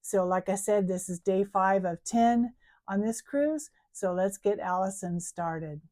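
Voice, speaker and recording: A middle-aged woman vlogging about her cruise in a chatty way, full of ums and restarts, with a croaky, hoarse voice. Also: medium pitch, a little slow, dark tone. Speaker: travel vlogger. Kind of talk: casual vlog chat.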